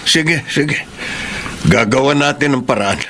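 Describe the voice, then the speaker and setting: An actor playing a weary, hardworking fisherman and father in a radio drama, expressive and earnest. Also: mid-range voice, unhurried, natural voice. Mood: hopeful. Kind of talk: Tagalog radio drama acting.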